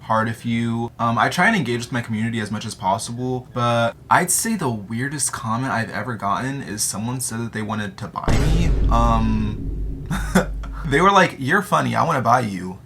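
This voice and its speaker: Teenage male vlogger, American accent, joking and slangy casual delivery, crisp forward tone with some vocal fry. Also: medium pitch, normal speed, fairly bright tone, slight background noise. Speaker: vlogger answering audience questions. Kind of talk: casual chat to camera.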